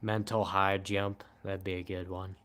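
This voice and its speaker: A man in his twenties chatting casually on a late-night livestream in a flat, monotone, low-key way. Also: unhurried. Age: 20s. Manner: monotone, calm and low-key, says he shows little emotion. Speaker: livestream host.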